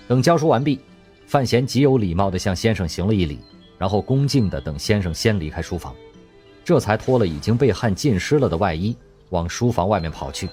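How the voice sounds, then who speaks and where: A man narrating a radio drama in scripted storytelling style, expressive and lively in delivery. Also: fairly low pitch.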